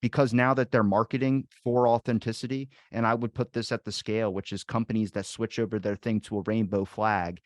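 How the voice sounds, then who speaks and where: Rambling, self-deprecating podcast host, low voice. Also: male voice, flat delivery, brisk, hardly any pauses, no room sound, studio quality. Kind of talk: casual interview chat.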